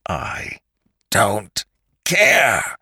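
Storybook villain, acted by a male reader: walking corpse, deep and slow, seething with murderous hate, very dry recording. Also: vocal fry, fairly bright tone, husky, no room sound, full character voice. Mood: desperate rage. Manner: slow growled threats, breaking into screams. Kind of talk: dramatic storybook narration.

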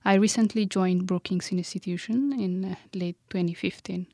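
A woman with an Ethiopian accent, a research scholar introducing herself and her work in a measured, careful way. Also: mid-range voice, steady tone, warm tone, no room sound, studio quality. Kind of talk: self-introduction about research.

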